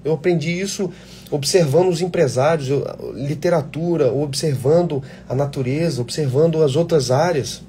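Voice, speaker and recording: Actor guy giving blunt fired-up advice on a live, mellow voice, faint hiss behind. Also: a little high, moderate pace. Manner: blunt, swears freely, passionate. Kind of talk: casual live chat answering viewer questions.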